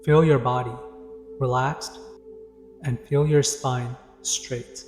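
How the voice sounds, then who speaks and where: An echoey, reverberant recording of a man guiding a meditation over music. His delivery is calm and deliberate, with little movement in pitch, leaving pauses between instructions. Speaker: meditation guide. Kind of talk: guided meditation narration. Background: background music.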